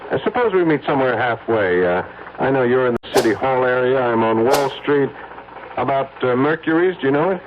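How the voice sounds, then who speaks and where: Casting: male actor for anxious, conscience-stricken businessman, hesitant and expressive, radio play, thin noisy vintage recording. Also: medium pitch, a little fast, narrow, tinny audio, close to an everyday voice. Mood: polite, uneasy. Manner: hesitant, earnest. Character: troubled, guilt-ridden businessman haunted by his conscience. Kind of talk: old-time radio drama acting.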